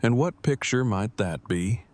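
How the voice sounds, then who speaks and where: An actor playing an awkward, earnest man who speaks in a formal, wordy, deliberate way in a fan audio drama. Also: medium pitch, animated, darkish tone, natural voice. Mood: earnest, curious.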